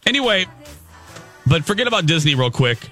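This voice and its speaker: Animated man telling a story on morning radio. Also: fairly high pitch, fairly quick, faint music behind. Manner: animated, laughing, chatty storyteller. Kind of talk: storytelling banter on a morning show. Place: radio studio. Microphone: studio microphone.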